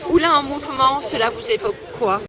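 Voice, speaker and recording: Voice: high voice, fairly quick. An interviewer asking brief questions on the street. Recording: loud crowd noise outdoors.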